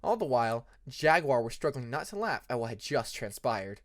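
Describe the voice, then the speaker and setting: Narrator reading a fantasy fanfic, mid pitch, really animated and expressive. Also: moderate pace. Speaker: audiobook narrator. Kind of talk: expressive fan fiction narration.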